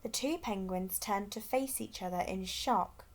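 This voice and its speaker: A woman's voice: mid-range voice, unhurried. A woman reading a children's storybook aloud expressively as a storyteller.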